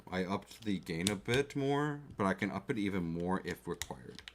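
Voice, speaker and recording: A chatty man talks casually on a livestream, often asking his viewers how things sound. His voice sits a little low and comes through a desk mic. Faint keyboard clicks and typing run underneath. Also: lively intonation, normal speed, a little hiss or hum. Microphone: desk mic close to the keyboard.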